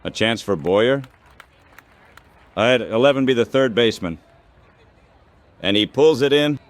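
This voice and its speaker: A man's voice: mid-range voice, expressive, unhurried, some chatter behind. A synthetic text-to-speech man's voice calling baseball play-by-play in a radio style, in short clipped phrases.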